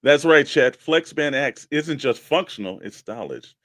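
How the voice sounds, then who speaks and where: A man's voice: medium pitch, animated, measured pace, fairly bright tone, no echo. Dry-sounding, laid-back male show host. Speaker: co-host of a toy collecting show. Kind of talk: casual radio show chat and hosting.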